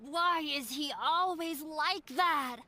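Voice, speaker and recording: A woman's voice: high voice, slow pace, sharp tone, full character voice. A woman playing a defiant, bitter young woman in a video game, confrontational and emphatic. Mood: weary, upset.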